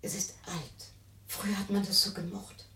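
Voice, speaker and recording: A woman acting in a radio play as an anxious, weary elderly mother, placating and protesting with a husky, breathy voice. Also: mid-range voice, steady tone, moderate pace, bright, forceful tone, full character voice. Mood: agitated, defensive. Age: older. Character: anxious, weary elderly mother soothing her troubled daughter.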